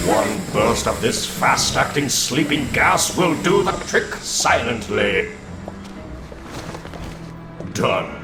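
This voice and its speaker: An arrogant comic book supervillain, coldly scheming and menacing. He speaks slowly and grandly with sweeping inflection, in an echoing space with loud music underneath. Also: mid-range voice. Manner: grandiose, deliberate, theatrical. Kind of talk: dramatic comic book reading.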